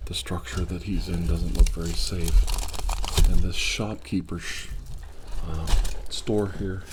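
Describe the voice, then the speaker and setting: Guy acting as a calm 1940s army doc, asmr roleplay, low hesitant murmur, half whispered, noisy clicky recording. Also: slow. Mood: urgent, reassuring. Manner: hesitant, murmuring, reassuring, often dropping to a whisper. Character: calm, caring male 1940s army doctor at a crash rescue. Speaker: doctor in a solo role-play. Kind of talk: soft-spoken ASMR role-play.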